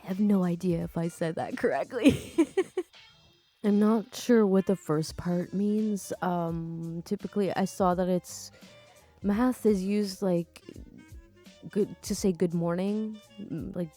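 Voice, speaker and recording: Young woman storyteller, chatty and laughing, mid-pitched, casual reading. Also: slow pace, dark tone, soft background music. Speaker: storytime YouTuber. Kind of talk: casual reading of online stories with commentary.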